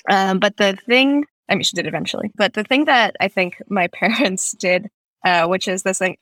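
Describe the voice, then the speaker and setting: Witty American-accented woman writer, laughs easily, dry close sound, mid pitch. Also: no echo. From Russia. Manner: witty, laughs often, lively jumps in pitch. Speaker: guest writer. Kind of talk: casual interview chat. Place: San Francisco.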